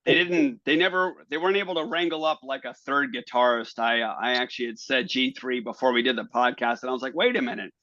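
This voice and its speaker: A man with a bright, forceful voice chats casually as a podcast co-host. He stumbles and restarts his phrases as he talks. The sound is dry and a little dull. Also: mid-range voice, fast talker, few pauses, no room sound. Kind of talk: casual chat.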